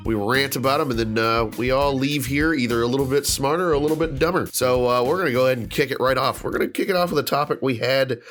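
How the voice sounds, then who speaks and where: A man's voice: mid-range voice, quick pace, hardly any pauses, a bit bright, faint music behind. A man hosting a casual podcast, ranting with lots of fillers and restarts, sounding stuffed up and nasal. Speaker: podcast host.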